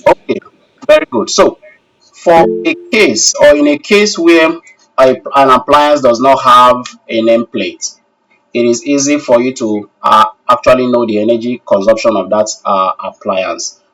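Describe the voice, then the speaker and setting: A man's voice: medium pitch, unhurried, a bit bright. Distant-sounding man teaching a live class. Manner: courteous, addresses learners formally as sir. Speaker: instructor of an online technical course. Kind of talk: live online class, question and answer.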